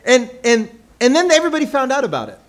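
A young man preaching in a church hall, playful and joking, pausing often for an interpreter. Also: extremely high pitch, big pitch swings. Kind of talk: sermon with live interpreter.

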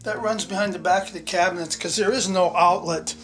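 A man's voice: sits high, fairly even intonation, fairly quick, fairly bright tone. Plain-spoken man, rambling and folksy, with music bed under him. Husband co-host. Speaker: vlog co-host, handyman husband. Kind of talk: casual storytelling.

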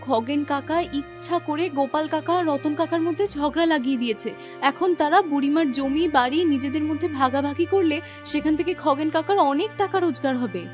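A woman's voice: high-pitched, a little fast, over music, natural voice. A woman voicing a kind, earnest young village girl in a cartoon. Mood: worried. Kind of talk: Bengali cartoon voice acting.

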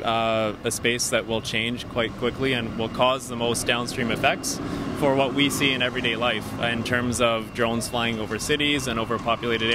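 A man in his thirties giving measured, businesslike explanations as an executive interviewed outdoors on a handheld microphone. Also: medium pitch. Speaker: company CEO being interviewed. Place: outdoors on a busy city street. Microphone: handheld interview microphone held by interviewer. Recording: clear street and traffic noise.

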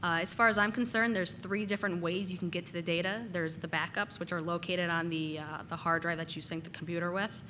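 A woman giving a casual technical conference talk, slightly hoarse from a cold and using lots of "uh" and "um" fillers. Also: fairly low pitch, steady tone, a little fast, few pauses, noisy background. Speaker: conference speaker. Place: conference hall.

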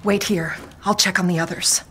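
A woman playing a kindly, authoritative village matriarch in a video game, gently commanding. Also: fairly low pitch, steady tone, moderate pace, slight background noise, lightly acted. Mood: composed, welcoming.

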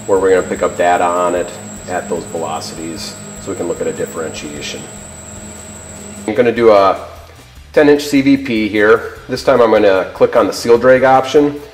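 Low-voiced man presenting in echoey room. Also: a little fast. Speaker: company product presenter. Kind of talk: product presentation and demonstration. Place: indoor workshop showroom. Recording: roomy echo, faint music behind.

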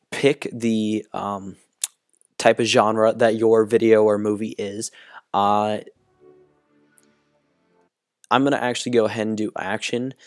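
A young man with a hoarse, partly lost voice, casually talking through a screen-recording tutorial with lots of ums and uhs. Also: lowish voice, no room sound, studio quality. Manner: relaxed, full of ums and uhs. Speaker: YouTube tutorial host.

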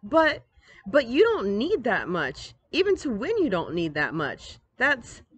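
Woman playing an indignant, higher-pitched young girl. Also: huge pitch swings, measured pace, slightly rough, heavily acted. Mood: incredulous, alarmed. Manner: emphatic, exclaiming. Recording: outdoor ambient noise, device microphone.

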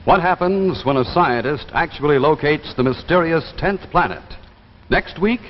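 A male 1950s television announcer delivers scripted copy in a slightly high voice with lively intonation. The recording is muffled and somewhat echoing. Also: normal speed, a little hiss or hum.

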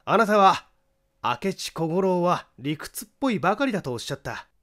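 A man as a high-pitched, accusing detective. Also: very animated, fairly bright tone. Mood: coolly triumphant. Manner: theatrical, deliberate, pressing. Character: shrewd male detective, coolly accusing and formally polite. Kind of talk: dramatic audiobook character acting.

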